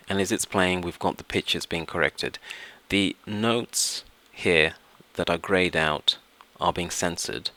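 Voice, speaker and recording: Guy with a really low but crisp forceful voice walking thru software settings step by step. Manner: step-by-step, instructional. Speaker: software tutorial presenter. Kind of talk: explanatory screen-recording tutorial narration. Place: indoors, voice-over to screen recording.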